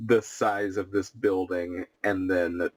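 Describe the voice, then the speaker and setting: A man playing a tabletop roleplaying game, speaking calmly and politely in character. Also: deep voice, slow. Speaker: player.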